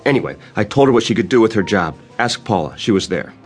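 A harried young fellow in a radio drama. His low voice is earnest, apologetic and flustered. Soft music sits behind it. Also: lively intonation, fast, breathy edge. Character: harried, apologetic young man fed up with work. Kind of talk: audio drama acting.

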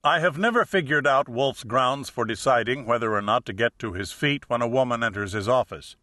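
A man's voice: mid-range voice, fairly quick, bright, forceful tone, no room sound, studio quality. A man reading a first-person detective novel as a scripted audiobook narration.

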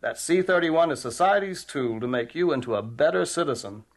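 A man's voice: a little high, expressive, a little fast. A man playing a jovial, self-satisfied older doctor in an audio drama, chatty, avuncular and pompous.

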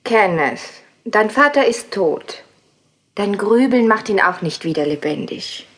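An actress playing a worried, sceptical woman companion in a horror audio drama, husky-voiced and urgently insistent. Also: mid-range voice, lively intonation, a little slow. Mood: concerned, insistent. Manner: urgent, pressing.

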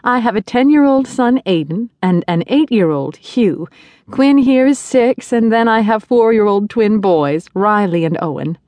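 A man voicing a gentle but firm young mother of five who scolds softly. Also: high-pitched, expressive, unhurried, dry recording, pitched above the performer's own voice. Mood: friendly.

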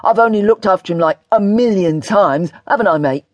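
Casting: female reader as a sarcastic British lad in his teens, indignant and slangy, slightly rough-voiced and close, dry sound, audiobook. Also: sits low, expressive, moderate pace, darkish tone, dry recording, lo-fi sound. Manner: offhand, sardonic, slangy.

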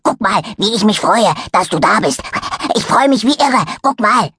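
A woman's voice: mid-range voice, expressive, normal speed, fairly bright tone, slight background noise, voice pushed up. A woman voicing a small, affectionate, overexcited male dog in a children's story, eager and breathless. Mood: overjoyed. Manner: breathless, eager, pleading, yappy.

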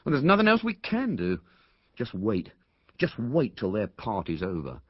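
A man's voice: on the low side, wildly swooping pitch, moderate pace, husky, no room sound, dull audio, exaggerated voice. A man voicing an earnest, restless schoolboy ringleader in a story reading.

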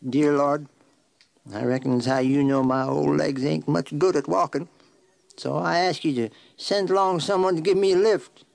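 A man's voice: a little high, steady tone, normal speed, gravelly, a little mellow. An actor playing a kindly, trusting, devout old man with a rural American accent in a children's radio drama. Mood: hopeful, trusting. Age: older. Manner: folksy, homespun, earnest.

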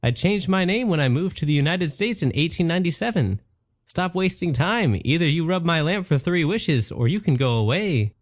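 A man voicing a smug slow-talking genie. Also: fairly high pitch, big pitch swings, dry recording, clean studio sound, voice pushed up. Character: smug male genie in a soda bottle.

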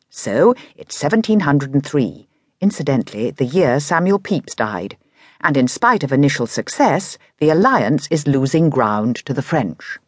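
A woman's voice: a bass voice, a little mellow, husky, dry recording, clean studio sound. A woman with an English accent narrating a scripted history documentary in an explanatory way with lightly conversational asides.

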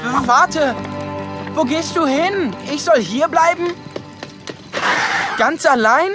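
An actor playing a plucky, excitable young boy dragon in a children's audio drama. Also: high-pitched, slow pace, loud music behind the voice. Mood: anxious. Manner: excitable, indignant exclamations.

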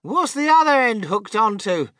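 A man voicing a suspicious, cantankerous old grandmother in a storybook, querulous, easily alarmed, with drawn-out emphasis. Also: high-pitched, sing-song, pushed and bright, no room sound, studio quality, voice pushed up, heavily acted.

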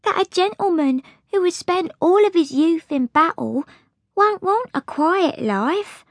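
Woman playing a high, timid young scullery maid. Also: lively intonation, measured pace, dry recording, studio quality, exaggerated voice. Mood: cautious. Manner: cautious, plaintive.

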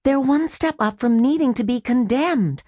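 A fed-up woman shop owner with a dry, cutting tongue in an audiobook, as the reader plays her. Her voice swings up and down in pitch, and it is recorded close and dry. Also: animated, normal speed, a little mellow, no room sound. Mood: firm.

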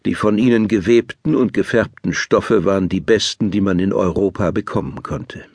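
A man narrating a scripted children's audiobook in a measured, explanatory way. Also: low-pitched, darkish tone, dry recording, clean studio sound.

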